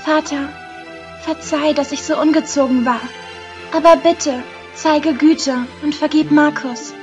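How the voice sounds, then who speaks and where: High-voiced theatrical young stage lover, acted by a woman. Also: steady tone, measured pace, a little mellow, lo-fi sound, soft background music. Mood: pleading. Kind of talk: amateur audio drama acting.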